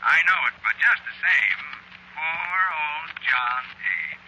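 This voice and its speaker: An actor playing a casual, callous gossip overheard on a telephone line in an old-time radio drama. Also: male voice, a little high, big pitch swings, slow, creaky, full character voice. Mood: idly gossiping. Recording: hissy vintage radio, telephone-line sound.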